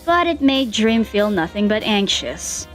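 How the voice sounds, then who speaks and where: A woman narrates a fan fiction story with a lively, varied pitch in a middle register. She reads expressively from a script over soft background music. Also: a little slow.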